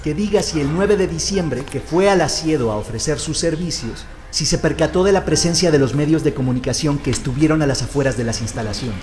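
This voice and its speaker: An actor playing a formal court examiner who puts procedural questions to a witness in an audio drama. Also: male voice, on the high side, brisk, hardly any pauses, a little mellow, soft background music, natural voice. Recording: long courtroom reverb.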